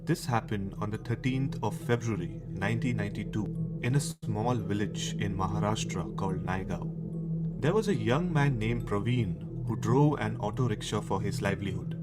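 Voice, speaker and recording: A man's voice: on the low side, normal speed. A man with an Indian accent hosting a horror radio show, telling stories in a dramatic narrating style. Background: music.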